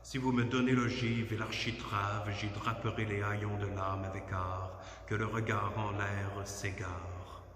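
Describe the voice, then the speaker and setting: Low-voiced man reciting in a cavernous echo. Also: flat delivery, a little slow, few pauses, fairly bright tone. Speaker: poetry reader. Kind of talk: solemn literary poetry recitation. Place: inside a church at night. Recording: very echoey church, long reverb.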